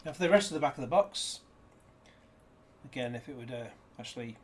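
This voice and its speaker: A man's voice: mid-range voice, expressive, brisk. Rambling man unboxing a disc. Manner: rambling, jokey asides. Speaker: disc reviewer. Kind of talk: casual unboxing chat.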